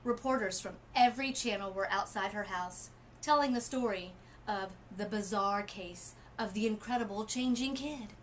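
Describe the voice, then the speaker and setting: Woman reading a story aloud, background noise. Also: medium pitch. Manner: deliberate, dramatic phrasing. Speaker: literacy coach reading a picture book aloud. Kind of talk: expressive children's story read-aloud. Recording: noisy room ambience.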